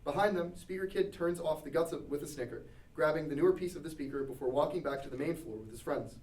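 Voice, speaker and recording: A man's voice: a little high, quick pace, darkish tone. A man reading scripted stage directions as narrator at a table read. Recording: echoey room, distant mic.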